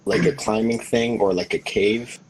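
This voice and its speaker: A husky-voiced man chatting casually as a tabletop game player, tentative and thinking aloud. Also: fairly low pitch, fairly even intonation, moderate pace, slight background noise, rough recording.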